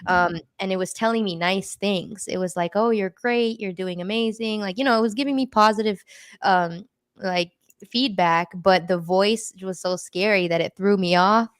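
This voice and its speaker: A woman's voice: medium pitch, moderate pace, clear, resonant tone, dry recording, clean studio sound. A woman casually sharing personal experiences in a group discussion, in an informal, conversational way.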